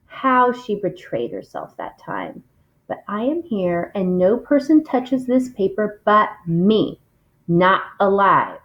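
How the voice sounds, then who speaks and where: Woman reading aloud, dull lo-fi sound. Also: fairly high pitch. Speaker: reader of a read-along. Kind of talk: expressive storybook reading aloud.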